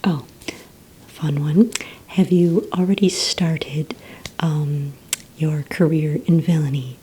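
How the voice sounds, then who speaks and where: A woman whispering breathily in a soft-spoken ASMR roleplay as a polite, encouraging receptionist, asking questions in a gentle, hesitant, unhurried way. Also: low-pitched, steady tone, a little hiss or hum, poor audio. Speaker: ASMR roleplay performer as a villain recruitment receptionist.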